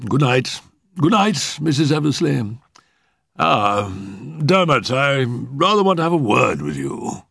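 A man voicing a courteous, fussy upper-class older gentleman with a formal, brisk, polite manner. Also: mid-range voice, sing-song, creaky, slightly rough, dry recording, studio quality, pitched above the performer's own voice, heavily acted.

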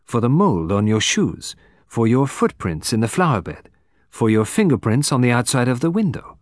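A man playing a composed, formal detective from abroad, speaking deliberately with dramatic emphasis in an audiobook. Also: medium pitch, very animated, no room sound, clean studio sound, pitched above the performer's own voice, lightly acted. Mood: dry, probing. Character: composed, precise foreign male detective, quietly theatrical.

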